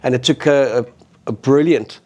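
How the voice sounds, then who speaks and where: A man's voice: medium pitch, normal speed, warm tone, highs cut off. A man hosting a rugby chat, fluent as he recounts match history in detail. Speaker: podcast host. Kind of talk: casual rugby discussion.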